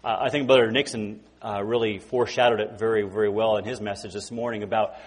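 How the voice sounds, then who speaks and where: Halting forty-something man preaching in a hall. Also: on the low side, fairly even intonation, breathy edge. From California. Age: 40s. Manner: halting, repeats words, many fillers. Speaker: guest preacher. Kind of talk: informal sermon. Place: church sanctuary.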